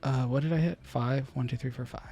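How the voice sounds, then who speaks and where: A man streaming a card game, thinking through his plays out loud to his chat and breaking into loud excited outbursts. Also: medium pitch, brisk, dull audio. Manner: muses over choices, bursts into loud excited laughs. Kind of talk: thinking aloud while playing, talking to chat.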